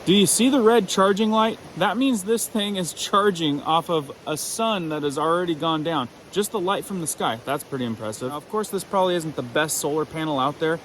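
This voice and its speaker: A man's voice: fairly high pitch, fairly quick, hardly any pauses, fairly bright tone, a little hiss or hum, low-quality recording. A man giving a casual, enthusiastic product review, conversational as he runs through features.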